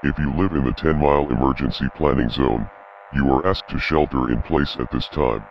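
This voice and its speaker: A man's voice as an impersonal automated emergency announcer, reading a formal bulletin in a flat, official manner over muffled radio. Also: extremely low pitch, a little fast, vocal fry. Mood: impersonal, grave. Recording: muffled noisy radio broadcast, hum.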